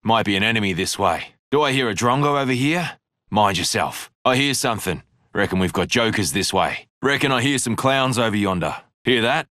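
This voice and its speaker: Sharp, cocky fighter. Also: male voice, on the low side, animated, fairly quick, no echo, clean studio sound. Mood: alert, cocky. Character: cocky, slangy Australian male fighter. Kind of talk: video game voice lines.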